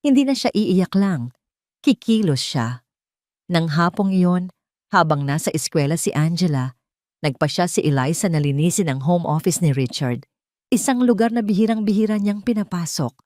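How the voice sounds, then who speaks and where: A woman's voice: on the low side, animated, normal speed, a little mellow, no echo, clean studio sound. A Filipino woman narrating a scripted audio drama in a dramatic storytelling style.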